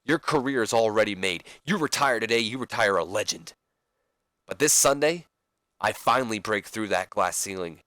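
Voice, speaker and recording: A man's voice: on the low side, expressive, normal speed, a bit bright, no echo. A man playing a frustrated wrestler desperate to break through, speaking in an insistent, exasperated promo style. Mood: desperate, determined.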